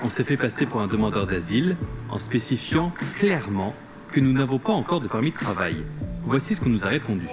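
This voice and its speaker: Lively male news documentary narrator. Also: mid-range voice, brisk, over music. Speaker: television reporter narrating an investigative report.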